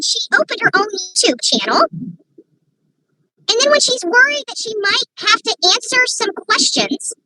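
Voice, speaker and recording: A woman's voice: very high voice, very noisy. A woman giving opinionated, rambling livestream commentary from her phone, often breaking into indignant rhetorical questions. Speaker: online commentator.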